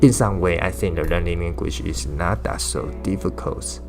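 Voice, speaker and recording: A man whose first language is Chinese, giving a casual language-learning talk in English, halting with frequent fillers and restarts. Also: deep voice, creaky, over music. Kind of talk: casual talking-head explainer.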